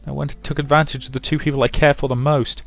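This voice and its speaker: A man's voice: medium pitch, little pitch movement, quick pace, creaky, faint music behind, voice pushed up. A man voicing an anguished, guilt-ridden young woman with a hot temper in a visual novel, stammering and emotional. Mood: anguished, firm. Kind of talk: visual novel character reading.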